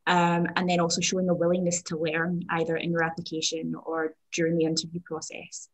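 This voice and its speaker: A woman with a low, fairly flat voice speaks over a video call from a home room. She talks steadily, with frequent ums, as a panelist. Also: brisk, low-quality recording. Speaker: digital communications manager, panelist. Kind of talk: panel talk.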